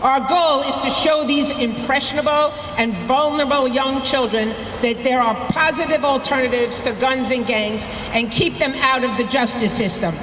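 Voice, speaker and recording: A woman's voice: sits high, a bit bright. Earnest middle-aged woman at a rally. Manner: measured, earnest, emphatic. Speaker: rally speaker. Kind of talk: prepared rally speech. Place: outdoor rally in front of a courthouse. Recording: echoey public address outdoors, crowd noise.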